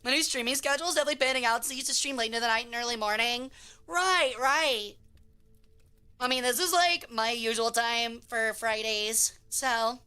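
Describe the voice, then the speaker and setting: A woman streamer chatting casually with her chat in a hoarse voice, reading messages aloud. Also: on the high side, moderate pace, pushed and bright. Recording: faint music behind.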